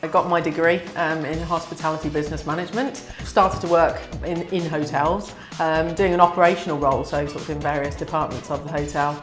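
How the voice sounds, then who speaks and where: A young woman in a documentary interview, speaking in a steady, confident manner. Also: sits low, a little fast, hardly any pauses, faint music behind. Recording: echoey room.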